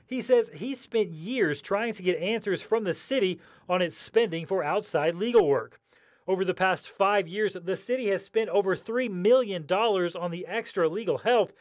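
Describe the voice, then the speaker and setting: A man's voice: high-pitched, normal speed. A man narrating a scripted television news report.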